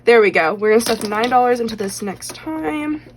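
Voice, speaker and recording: A woman's voice: a little high, lively intonation, moderate pace, a little hiss or hum. A woman chatting casually as she stuffs cash into budget envelopes. She rambles with lots of fillers, counts bills aloud and is slightly losing her voice. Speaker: budgeting vlogger.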